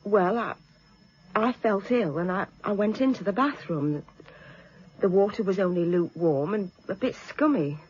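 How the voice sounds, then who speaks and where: An actress playing a shaken but sharp-tongued British woman witness in a radio drama, hesitant and then bluntly defiant. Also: medium pitch, normal speed, husky, highs cut off, lightly acted. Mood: hesitant, certain.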